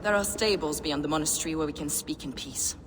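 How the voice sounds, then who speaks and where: A devout, enigmatic heretic mystic played by a woman in a video game. Her low voice is reverent and mysterious, carried on long reverb with noise behind it. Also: fast, close to an everyday voice. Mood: calm. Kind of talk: theatrical video game cutscene acting. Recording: long hall reverb, clear background noise.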